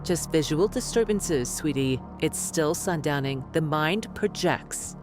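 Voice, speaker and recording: An actress playing a rational, stubborn doctor with a clinical, condescending manner in a horror audio drama. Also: female voice, deep voice, animated, unhurried. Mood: clinical, dismissive. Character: rational woman doctor, stubborn, soothing yet condescending skeptic. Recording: music behind the voice.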